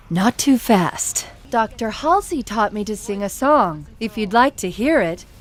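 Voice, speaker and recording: A woman playing an unhinged, wistful female artificial intelligence in a video game, speaking in a slow, deliberate and eerie way. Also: mid-range voice, lively intonation. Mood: detached, eerie.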